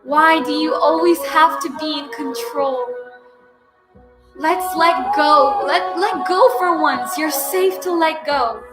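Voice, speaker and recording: A woman leading a guided breathwork session, coaching slowly and soothingly, with repeated prompts and audible sighing breaths. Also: sits high. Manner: slow, soothing, repetitive coaching with loud breathing sighs. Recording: music playing behind.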